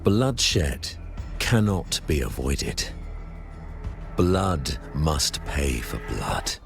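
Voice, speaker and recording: An actor playing a solemn, menacing, self-righteous priest in a video game, with grandiose preachy declamation. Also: male voice, a bass voice, vocal fry, heavily acted. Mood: grim conviction. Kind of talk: theatrical video game voice acting.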